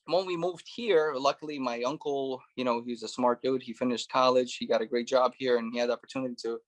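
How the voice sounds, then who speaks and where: A man's voice: mid-range voice, steady tone, brisk. A man in his thirties, born in Russia, telling his life story as a guest in a casual interview, with lots of "you know" and "right". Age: 30s. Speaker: guest, former police officer turned real estate investor.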